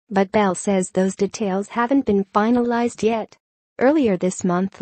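A woman's voice: medium pitch, mellow, breathy edge, no echo. A woman reading a scripted news article in an impersonal, neutral way, with a synthetic text-to-speech sound.

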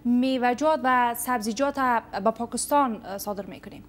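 A young woman anchoring a TV news program in a studio, reading reports formally and interviewing a guest. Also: on the high side. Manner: formal, measured.

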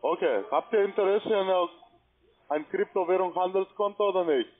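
Higher-voiced foreign man, tinny phone line. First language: not German. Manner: halting, broken grammar. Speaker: call-center agent, cold caller. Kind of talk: phone sales talk. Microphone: telephone.

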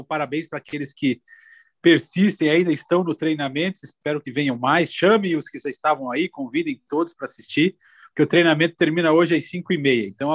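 A man's voice: mid-range voice, dry recording. A man from a real estate office giving encouraging closing remarks on a video call.

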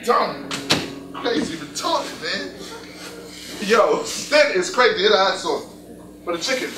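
A man's voice: high-pitched, very animated, takes it slowly, background noise, full character voice. An actor playing a slangy, earnest, streetwise young man in a gospel stage play. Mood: joking, excited. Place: indoor stage in a furnished hall. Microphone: distant camera. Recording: distant echoey stage recording with music.

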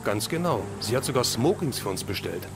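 A man playing an easygoing, businesslike hero in an audio drama, talking in a casual, matey, brisk way. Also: mid-range voice, sing-song, noisy background. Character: easygoing, businesslike male action-series hero.

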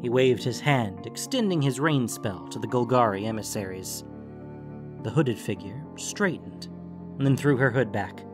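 A man narrates a scripted fantasy tale. His voice sits at an average male pitch, and quiet music runs underneath. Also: animated, normal speed.

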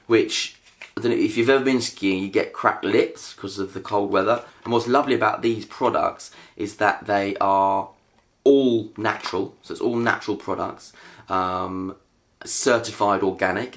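A man's voice: on the low side, a bit bright, a little hiss or hum. A British man in his thirties, chatting casually about gear while seated on a living room sofa. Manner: chatty, upbeat, laughs between items. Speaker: family vlogger. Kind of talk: casual product haul chat. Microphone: camera on a tripod in front.

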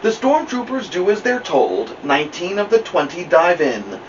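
A middle-aged man reading a children's picture book aloud as a storytime host, with dramatic, deliberate delivery. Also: high voice, very animated. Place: indoor room at home, seated by a plant. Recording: muffled, noisy, distant camera mic.

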